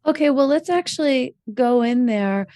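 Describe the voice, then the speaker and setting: A woman hosting a relationship podcast, asking slow, thoughtful questions with measured, drawn-out phrasing. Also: sits high, animated, mellow, dry recording, studio quality. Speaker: podcast host, relationship coach.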